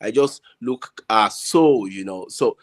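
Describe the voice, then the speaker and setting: A man with a Nigerian accent talking casually as a panel guest, haltingly repeating words as he searches for his phrasing. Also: lowish voice. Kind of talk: casual discussion. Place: online group video call.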